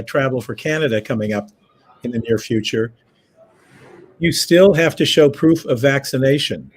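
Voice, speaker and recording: A man's voice: medium pitch, husky. A man with a head cold and a froggy voice, hosting a casual travel news chat from home over a video call. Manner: chatty, rambling with fillers. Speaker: host. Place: his home.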